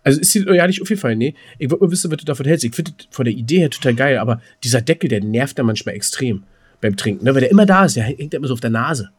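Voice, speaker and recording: A man's voice: medium pitch, expressive, very fast, breathy edge. A man chatting casually as a podcast co-host, in a joking, rambling manner. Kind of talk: casual chat.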